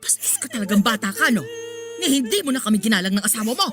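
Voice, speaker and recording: A woman's voice: a little high, very animated, quick pace, music in the background. An actress with a Filipino accent playing an elderly mother and grandmother, distressed and scolding in a radio drama. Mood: indignant, reproachful. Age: older.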